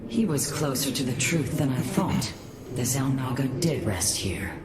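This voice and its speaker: Wry, dignified female alien leader, low register, formal game acting over a loud crowd-like din. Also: big pitch swings, unhurried, full character voice. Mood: reflective. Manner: dry, haughty, formal. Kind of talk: dramatic sci-fi game acting.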